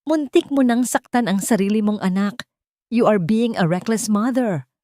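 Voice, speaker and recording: A woman's voice: normal speed, mellow, no echo, clean studio sound, close to an everyday voice. A woman voicing a cold, scheming rich mother-in-law who sounds sweet but mocking. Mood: shrill scolding. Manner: falsely sweet, mocking, turns shrill.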